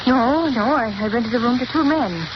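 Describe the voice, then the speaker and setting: A woman's voice: a little high, animated, normal speed, close to an everyday voice. A woman playing a flustered rooming-house cleaning woman in a 1930s radio drama. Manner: nervous, jittery. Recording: dull old radio broadcast with hiss.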